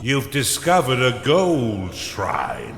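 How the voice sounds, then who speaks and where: A man playing a sardonic, pompous dark advisor in a video game, delivering scripted narration in a theatrical way. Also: mid-range voice, wildly swooping pitch, slow pace, echoey room, big-room sound, faint music behind, exaggerated voice. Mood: announcing.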